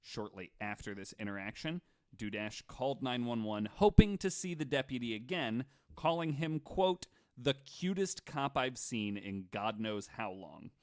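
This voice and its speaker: A man's voice: medium pitch, lively intonation, a little slow, darkish tone. A man hosting a casual podcast, telling stories from his notes in a jokey, conversational way. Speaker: podcast host. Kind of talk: casual storytelling from notes with banter.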